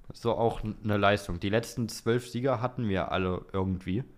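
A man's voice: fairly low pitch, fairly quick. A young man co-hosting a podcast, talking informally and rambling as he thinks aloud. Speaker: podcast co-host. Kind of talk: casual podcast chat.

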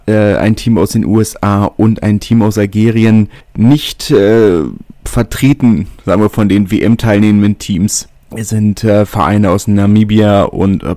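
Low-voiced male podcast host, dry sound. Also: dark tone, dry recording. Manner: casual, thinking aloud with many fillers. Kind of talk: solo podcast monologue.

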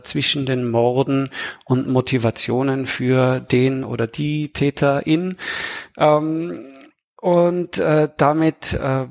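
A man, an author talking conversationally as an interview guest. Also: medium pitch. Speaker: thriller author, podcast guest.

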